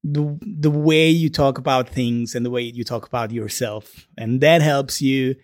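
A man's voice: mid-range voice, a little slow, dry recording, studio quality, soft background music. A man co-hosting a podcast in casual conversation, hesitant and restarting words with many "uh"s. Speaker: podcast co-host.

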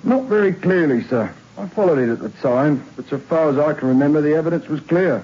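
A man's voice: fairly high pitch, fairly quick, mellow, breathy edge. An actor playing a loyal male prison warder who answers his governor respectfully and matter-of-factly in a 1960s radio drama. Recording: dull lo-fi old radio recording with faint hiss.

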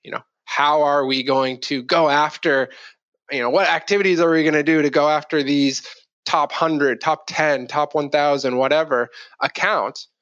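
A man's voice: fairly high pitch, steady tone, moderate pace, a bit bright, no echo. Regular guy explaining stuff on a podcast, casual, dry and a bit dull sounding audio. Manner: conversational, explanatory, poses rhetorical questions. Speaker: podcast guest, marketing executive.

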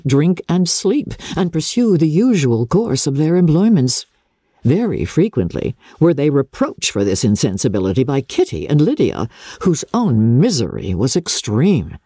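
A woman narrates a classic novel with a theatrical, measured delivery. Her pitch swings widely and dramatically, and the tone is dark and mellow. The sound is dry and close. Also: husky, no echo. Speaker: audiobook narrator. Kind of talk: dramatic scripted literary narration.

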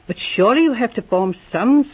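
An eager, romantic young amateur sleuth whose high voice swings widely as she presses her theories. Her tone is slightly husky, in 1940s radio style. The sound is a dull, lo-fi old radio broadcast. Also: animated. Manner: eager, insistent, quick to theorize.

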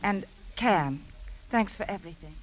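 An American actress playing a gentle, affectionate young widowed stepmother in a 1950s radio drama. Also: medium pitch, expressive, normal speed, natural voice, rough recording. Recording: hissy 1950s radio broadcast.

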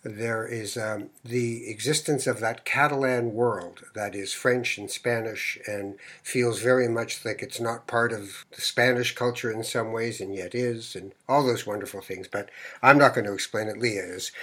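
Husky man hosting a relaxed interview. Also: mid-range voice. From Morocco. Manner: relaxed, meandering, with frequent fillers. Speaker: podcast host. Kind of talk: conversational interview.

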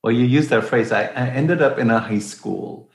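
A man's voice: medium pitch, normal speed, gravelly. A man hosting an interview, curiously restating his guest's words before asking open questions. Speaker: interview host. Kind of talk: conversational interviewing. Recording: echoey room.

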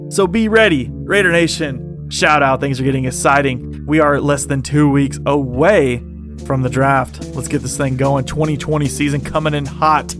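A man's voice: medium pitch, normal speed, over music. A man hosting a casual fan podcast, enthusiastic and rambling, laughing often, his voice getting a little worn. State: losing his voice. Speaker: podcast host.

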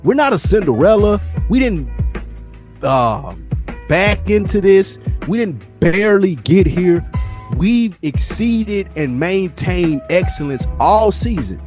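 Male podcast co-host, higher-pitched, slangy and emphatic, arguing sports over music. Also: very animated, takes it slowly, darkish tone. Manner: emphatic, argumentative, repeats phrases for stress; slangy, profane. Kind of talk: casual heated sports debate.

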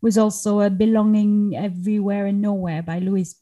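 A woman hosts a podcast interview with a warm, mellow voice. She reacts with open enthusiasm and keeps affirming what her guest says. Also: mid-range voice, little pitch movement, normal speed. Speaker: podcast host.

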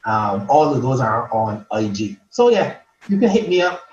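A man's voice: mid-range voice, big pitch swings, normal speed, a little mellow. A man from Barbados with a Bajan accent, chatting casually and playfully as a guest on a remote podcast. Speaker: podcast guest, poet and author. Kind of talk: casual interview chat. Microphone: remote virtual podcast call. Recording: roomy, dull online call, faint noise.

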